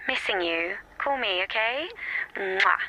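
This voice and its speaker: An actress playing a bubbly, chatty friend leaving a gushing telephone voicemail in a radio play. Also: female voice, mid-range voice, animated, slow, background noise. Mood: breezy, affectionate.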